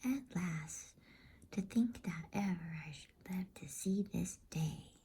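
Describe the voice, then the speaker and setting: A woman voicing a kind, motherly old she-beaver in a hushed, whispery bedtime storybook reading. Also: fairly low pitch, slow, slightly rough, full character voice. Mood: warm welcome.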